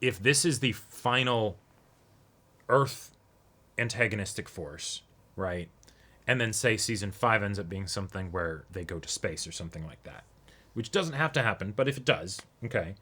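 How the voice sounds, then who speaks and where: A man's voice: on the low side, animated, normal speed, pushed and bright, slightly rough. A man co-hosting a reaction show, talking casually and analytically and often checking in with a "right?". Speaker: co-host of an anime reaction show. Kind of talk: casual discussion.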